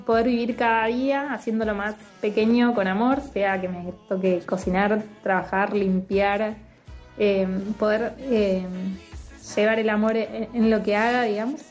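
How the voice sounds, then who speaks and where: Woman, 30s, thoughtful with pauses, faint music under. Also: mid-range voice, steady tone, darkish tone. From Argentina. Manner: thoughtful, hesitant, many fillers. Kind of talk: recorded personal message.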